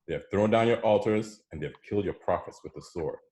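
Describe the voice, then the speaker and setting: A deep-voiced, weary prophet acted out by a man in a dramatized scripture reading. He is despairing yet zealous as he pleads his case. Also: fast talker, mellow, close to an everyday voice. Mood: earnest, aggrieved.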